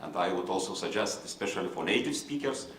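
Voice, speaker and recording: A man with a Lithuanian accent moderating a conference panel in formal, halting English, with many fillers and restarts. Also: deep voice, expressive, a bit bright, reverberant, slight background noise. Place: conference room.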